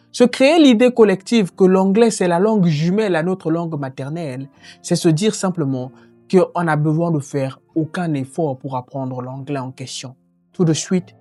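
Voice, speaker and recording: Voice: mid-range voice, dark tone. A voice narrating a French-language lesson on learning English, earnest and explanatory. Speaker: language teacher.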